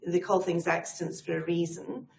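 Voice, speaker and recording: A middle-aged woman speaks with a Scottish accent and a low voice with a gravelly edge. She answers in a chatty, fluent way and pokes fun at herself. Her voice rings around an echoey room, with faint background noise. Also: fairly even intonation, normal speed. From Scotland. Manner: self-deprecating, chatty and fluent. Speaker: guest, head of people advisory. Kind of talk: conversational interview answers.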